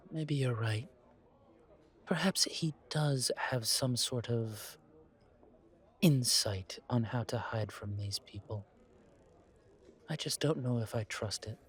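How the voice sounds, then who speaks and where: A man playing a genteel, world-weary vampire in an audio roleplay, speaking in a courtly, deliberate way with pauses and sighs. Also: medium pitch, natural voice. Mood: pensive, guarded. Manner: courtly, deliberate, pausing, sighing between phrases. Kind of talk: slow audio roleplay acting, addressed to listener.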